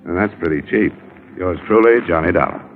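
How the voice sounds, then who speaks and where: An actor playing a wry, confident American insurance investigator in old-time radio style, clipped and matter-of-fact. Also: male voice, low voice, a little fast. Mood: wry, satisfied. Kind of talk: 1940s-50s radio detective acting and first-person narration. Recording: muffled vintage radio recording with music.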